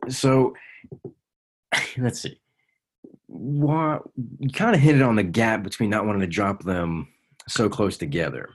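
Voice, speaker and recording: A man hosting a casual interview, friendly and quick to affirm his guest. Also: on the low side, slightly rough. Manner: friendly, with frequent backchannel affirmations. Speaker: interview host.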